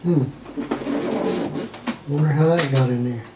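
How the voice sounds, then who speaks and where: A man's voice: mid-range voice, slow pace. An older man, around his sixties, thinking aloud in short fragments during a casual hands-on demonstration. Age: older, around 60s. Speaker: hobbyist demonstrator testing old electronics. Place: indoor room at home, seated at a wooden table. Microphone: camera mic a few feet away. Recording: roomy, distant mic, noisy with handling clicks.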